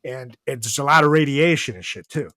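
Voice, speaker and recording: A man's voice: medium pitch, lively intonation, brisk, bright, forceful tone. A man hosting a podcast in casual, joking chat, rambling loosely and swearing freely. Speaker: podcast host.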